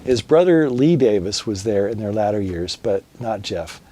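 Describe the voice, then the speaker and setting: A man's voice: on the low side, lively intonation, normal speed, heavy background noise. A man presenting a scripted promotional narration with a storytelling structure. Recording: music bed under voice.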